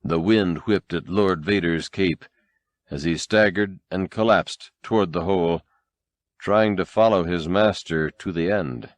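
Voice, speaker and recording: A man's voice: deep voice, a little slow, no room sound. A man narrating an audiobook in a dramatic, scripted storytelling style.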